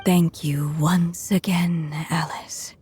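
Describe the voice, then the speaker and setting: A woman playing a gentle, motherly storyteller at the end of her life in a video game, speaking softly and solemnly. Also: lowish voice, steady tone, slight background noise. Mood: frail, wistful. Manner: slow, tender, solemn. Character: gentle dying female storyteller, tender and motherly. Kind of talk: dramatic video game voice acting. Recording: loud music behind the voice. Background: music.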